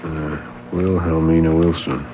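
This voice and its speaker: An actor playing a skeptical, low-key police sergeant in a radio mystery drama, questioning in a measured, hesitant way. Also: male voice, little pitch movement. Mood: probing. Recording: dull, hissy old radio recording.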